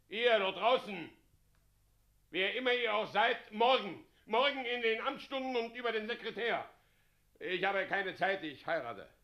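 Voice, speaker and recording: An actor in a German radio play playing a pompous, volatile city president and bridegroom, theatrical, swinging between coaxing murmurs and barked orders. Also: male voice, high voice, lo-fi sound. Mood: bossy, indignant.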